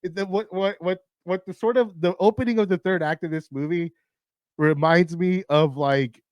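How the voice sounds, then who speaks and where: A man hosting a movie countdown, his voice raspy and tired, rambling casually with lots of swearing, fillers and restarts. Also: sits high, steady tone, normal speed, dry recording. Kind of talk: casual rambling film talk.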